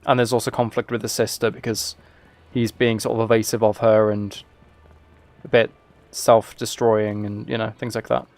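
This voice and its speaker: A man's voice: on the low side, level tone, moderate pace, darkish tone. A man chatting casually as he streams a video game, with dry, wry asides. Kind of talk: casual livestream gaming chat and commentary.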